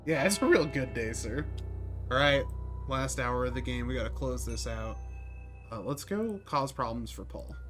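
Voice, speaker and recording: A male streamer commenting casually on his speedrun. He is wry and jokey, with a lively, mid-pitched voice. Game music is clearly heard behind him. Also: moderate pace. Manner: wry, joking asides.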